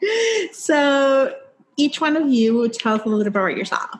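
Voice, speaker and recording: A woman's voice: high-pitched, big pitch swings, normal speed, a little hiss or hum, low-quality recording. A woman from Puerto Rico hosting a book podcast, talking in a chatty way and laughing often. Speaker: podcast host. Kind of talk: casual chat.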